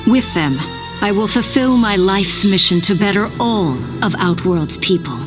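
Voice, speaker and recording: A woman's voice: mid-range voice, expressive, measured pace, music in the background, close to an everyday voice. An actress playing a noble, resolute warrior queen, narrating a video game cutscene in a solemn, formal and earnest manner.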